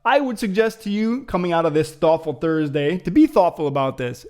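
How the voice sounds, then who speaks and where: A man's voice: fairly high pitch, brisk, darkish tone. A man hosting a casual vlog, musing and thinking aloud to viewers with frequent fillers and hesitations. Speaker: vlogger host. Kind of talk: casual reflective vlog talk.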